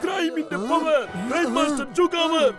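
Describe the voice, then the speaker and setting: A man's voice: very high voice, fairly even intonation, normal speed. A man voicing a boastful cartoon crime boss who announces himself grandly. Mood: menacing, boastful. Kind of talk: exaggerated children's cartoon dubbing. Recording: music behind the voice.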